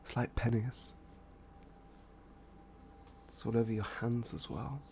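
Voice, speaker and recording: A man playing an obsessed, adoring stalker in a boyfriend ASMR roleplay, with a breathy, husky voice and a halting, pleading, worshipful manner. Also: medium pitch, fairly even intonation, darkish tone, slight background noise. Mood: tender awe. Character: obsessed, adoring young stalker smitten with a killer.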